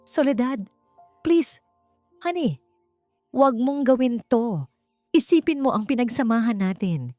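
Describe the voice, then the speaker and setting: A woman's voice: a little high, lively intonation, measured pace, pauses often, warm tone, no echo, clean studio sound, soft background music, voice pushed up. A woman voicing a greedy, manipulative husband in a dramatic, pressing manner for an audio drama. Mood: desperate, pleading. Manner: falsely sweet, pressing, dramatic. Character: smug, greedy husband in jail, turning desperate and pleading. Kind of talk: Tagalog audio drama acting.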